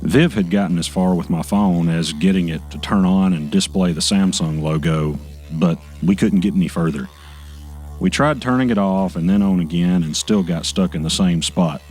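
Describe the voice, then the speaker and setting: A low-key male narrator, played by an actor tells a mystery in the first person. His voice is very deep and his delivery measured and matter-of-fact. Music plays beneath the narration. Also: darkish tone, close to an everyday voice.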